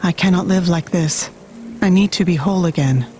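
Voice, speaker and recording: A woman's voice: fairly low pitch, moderate pace, darkish tone, natural voice. An actress voicing a solemn female android in a video game, with formal, clipped, stilted phrasing. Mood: solemn, resolute. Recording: noisy rain and vehicle ambience.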